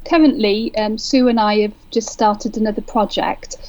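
A woman's voice: mid-range voice, fairly even intonation, moderate pace, a little mellow, poor audio. A woman from Wales explaining research conversationally as an interview guest. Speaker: education researcher being interviewed.

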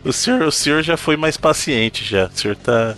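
A man's voice: fairly high pitch, animated, normal speed, a bit bright, faint music behind. A man hosting a podcast in a playful, mock-formal teasing manner. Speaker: podcast host. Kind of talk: casual podcast banter.

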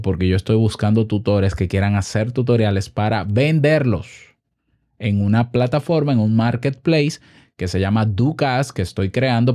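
Dominican man explaining, mellow voice. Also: on the low side. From Dominican Republic. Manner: didactic, asks and answers own questions. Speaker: online business content creator and host. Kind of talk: informal explainer to camera.

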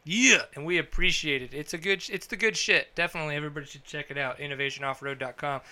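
A male podcast host with a bright, forceful voice that sits a little high. He talks loud and jokey, and his sponsor plugs come out in bursts of energy. The tone is clear and crisp. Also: quick pace. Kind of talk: casual podcast chat with ad reads.

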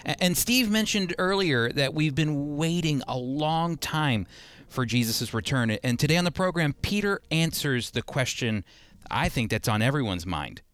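A man with a slightly high voice speaks as a radio host and Bible teacher. He talks conversationally but earnestly, leaning on key phrases and repeating them for emphasis. The recording is dry and close. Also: expressive, normal speed, a bit bright, no room sound.

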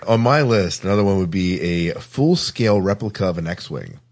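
A man's voice: deep voice, expressive, a little mellow. A man hosting a podcast in casual chat, with a jovial, easygoing way of talking. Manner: jovial, quick to laugh. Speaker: podcast host.

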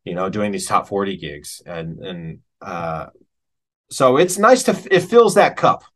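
A man's voice: low voice, very animated, measured pace. An American man talking as an interview guest, a working musician speaking in a casual, reflective and candid way, often informal. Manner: informal and candid, with frequent "man" and "you know".

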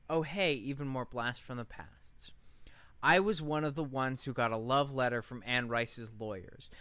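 A man's voice: medium pitch, sharp tone, clean tone, no room sound. A man co-hosting a podcast, reading aloud and chatting in an informal, sweary way. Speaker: podcast co-host. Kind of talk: casual podcast chat and reading aloud from a post.

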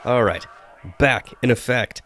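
A man hosting a solo podcast, hoarse and losing his voice, chatting with wry asides. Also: medium pitch, unhurried, heavy background noise. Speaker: podcast host.

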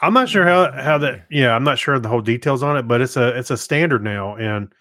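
A male podcast co-host with a medium-pitched voice, captured dry. He chats casually and explains things loosely, in a laid-back and tentative manner. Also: expressive, brisk, pushed and bright, no room sound. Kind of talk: casual chat.

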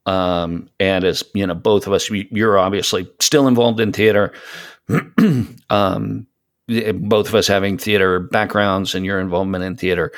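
A man in a casual podcast conversation, speaking emphatically and repeating phrases to stress his point. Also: deep voice. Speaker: podcast guest, hobby voice actor.

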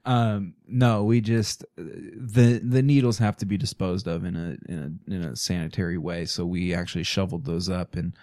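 Very deep man in dry studio. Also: steady tone, moderate pace, hardly any pauses, a little mellow, no echo. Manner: crude joking banter. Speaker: podcast guest. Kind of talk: casual chat. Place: small podcast studio.

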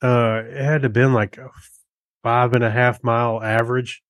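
A man's voice: mid-range voice, flat delivery, moderate pace, a bit bright, dry recording. Easygoing American man, dry close sound. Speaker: podcast co-host. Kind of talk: casual chat.

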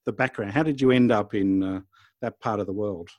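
A man's voice: fairly low pitch, brisk, no echo, highs cut off. A man co-hosting a podcast, thinking aloud in a casual, conversational discussion about storytelling. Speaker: podcast co-host.

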